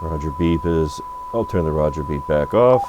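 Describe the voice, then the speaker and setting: Low voiced guy doing a hands-on demo at his workbench, kinda thinking out loud, noisy hum. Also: animated, normal speed. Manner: off-the-cuff, thinking aloud. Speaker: radio repair bench presenter. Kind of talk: unscripted hands-on product demo. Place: electronics repair workbench. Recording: noisy recording with electrical hum.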